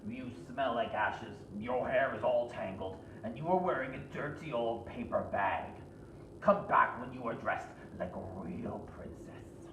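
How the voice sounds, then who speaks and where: Snooty prince as a storyteller reads him, soft disdainful tone, slow, roomy distant sound. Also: mid-range voice, noisy background, lightly acted. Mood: sniffy. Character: snooty, prissy young prince. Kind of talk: storybook read-aloud.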